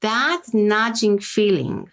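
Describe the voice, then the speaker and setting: A woman from Romania speaks with a Romanian accent and a gravelly edge to her voice. She explains her practice at length as an interview guest, checking in with "right?" after each point. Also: medium pitch, sing-song, takes it slowly, a bit bright.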